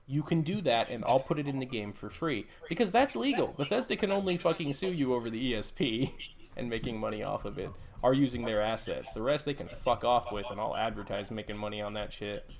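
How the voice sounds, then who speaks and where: A man's voice: medium pitch, animated, fairly quick, creaky. A man talking in a loose group chat on a live stream, blunt and profane as he rants. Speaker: mod author hosting a live stream.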